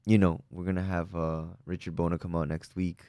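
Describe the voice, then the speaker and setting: A man's voice: low-pitched, brisk, no room sound. A young man from Peru, a musician guest, chatting casually and upbeat with plenty of fillers. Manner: relaxed, upbeat, lots of fillers. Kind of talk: casual interview chat.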